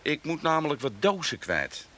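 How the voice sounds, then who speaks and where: Brash street hustler, Amsterdam accent, slangy, wheedling, highish sharp voice, comic radio play. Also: male voice, big pitch swings, moderate pace. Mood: wheedling, sly. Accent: Amsterdam Dutch. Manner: slangy, wheedling, casually pushy.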